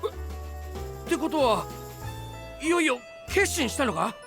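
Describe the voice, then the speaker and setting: A little would-be knight from an anime drama. The boyish voice is high and leaps all over, brash and loyal in rough slang. Music plays clearly underneath. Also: female voice, very animated, measured pace, full character voice. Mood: overjoyed. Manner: cocky, rough slangy boyish speech, exclaiming. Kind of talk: Japanese anime-style voice drama.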